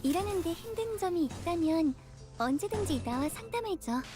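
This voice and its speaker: A woman's voice: extremely high pitch, animated, racing. An actress voicing an earnest, cheery, girlish magical heroine in a game. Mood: bright, heroic. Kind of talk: scripted anime-like game battle lines. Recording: loud game music over voice.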